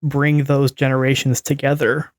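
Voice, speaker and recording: A woman's voice: rumbling low, little pitch movement, normal speed, no echo. A woman in her twenties in casual discussion as a podcast co-host. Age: 20s.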